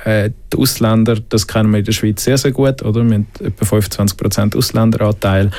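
A man with a Swiss German accent, a podcast guest who explains his faith in a thoughtful way, pausing often to search for words. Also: lowish voice, level tone, darkish tone. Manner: thoughtful, explaining with many hesitations. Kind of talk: reflective faith talk.

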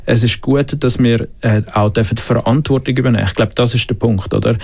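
A man with a Swiss German accent speaks as a podcast guest. His voice carries a gravelly edge, and he talks in a mid-range pitch. He reflects out loud, stopping often with small hesitations as he builds his thoughts. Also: fairly even intonation, brisk. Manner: thoughtful, explaining with many hesitations. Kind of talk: reflective faith talk.

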